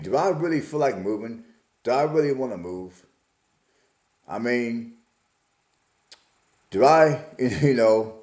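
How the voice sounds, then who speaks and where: Reflective man in his forties, lowish voice. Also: darkish tone, roomy sound. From Alabama, US. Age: 40s. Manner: musing, repetitive, plain-spoken. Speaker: vlogger. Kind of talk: casual reflective monologue.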